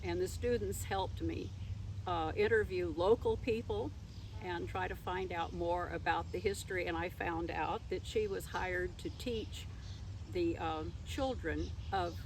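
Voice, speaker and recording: A woman's voice: lowish voice, fairly even intonation, slow pace, poor audio. An older woman telling her own story as an interview guest, speaking in an unscripted, conversational way. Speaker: interview guest, former teacher. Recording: faint traffic noise behind.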